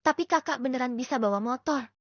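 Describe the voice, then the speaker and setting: A woman voicing a shy, polite but gently stubborn young woman in audiobook dialogue. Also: high-pitched, fast talker, no echo. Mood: doubtful. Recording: dull lo-fi dry recording.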